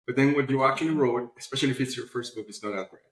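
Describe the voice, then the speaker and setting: Male interview guest, mid-pitched, animated conversational storyteller, roomy echoey recording. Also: racing. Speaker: interview guest, publishing platform founder. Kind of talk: casual conversational interview answers.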